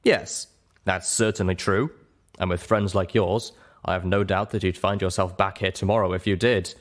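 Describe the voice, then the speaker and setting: Man playing a genial low-voiced stallion doctor. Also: steady tone, close to an everyday voice. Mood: genial, candid. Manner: genial, measured, polite. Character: genial adult stallion doctor, patient and candid. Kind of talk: audiobook character voice in storybook reading.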